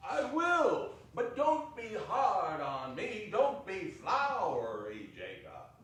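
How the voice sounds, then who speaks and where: A man's voice: sits high, huge pitch swings, slow, fairly bright tone, full character voice. A man playing a frightened old miser who pleads nervously with a ghost, faltering in a dramatic stage reading. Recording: echoey hall from far off.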